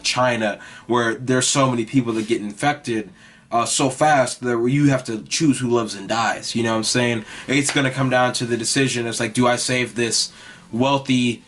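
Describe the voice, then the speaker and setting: Young guy rambling thru his podcast, raspy, kinda monotone, like every other word. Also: medium pitch. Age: young adult. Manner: rambling, heavy use of "like" and "you know"; mostly monotone delivery. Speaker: podcast host. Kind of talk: casual rambling monologue.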